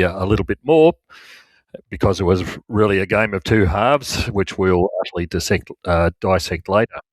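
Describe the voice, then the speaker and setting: A low-voiced Australian man hosts a sports podcast in a casual, conversational way. The sound is close and dry, with faint music behind him. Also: no room sound. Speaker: podcast host.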